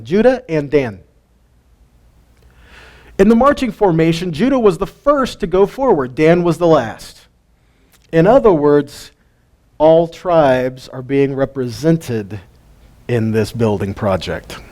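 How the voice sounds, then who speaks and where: A man's voice: fairly high pitch, darkish tone. A middle-aged man teaches scripture in a folksy way, asking his listeners questions and chuckling often. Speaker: Bible teacher. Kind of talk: casual Bible teaching.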